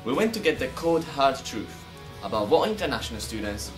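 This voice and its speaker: A man's voice: medium pitch, big pitch swings, brisk. Young male presenter over background music. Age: young adult. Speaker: student TV presenter. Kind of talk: scripted feature narration and presenting.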